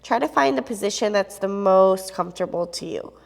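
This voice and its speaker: A young woman teaching a yoga class on a clip-on mic, giving calm, steady cues with a slight sniffle from a cold. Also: mid-range voice, brisk, vocal fry, long reverb tail. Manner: calm, steady cueing with soft fillers. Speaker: yoga instructor.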